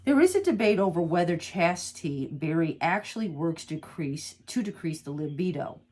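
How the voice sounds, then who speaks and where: Woman card reader, low-ish husky voice, stuffy nose, slow soft reading. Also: very animated. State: congested from allergies. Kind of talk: reading aloud from a guidebook with asides.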